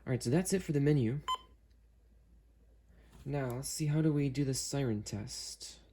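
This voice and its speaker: A man's voice: medium pitch, normal speed, mellow, a little hiss or hum. A man hosting an unboxing video, talking casually and hesitantly as he works through a product demo. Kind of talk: casual unscripted product demo with friends on a call.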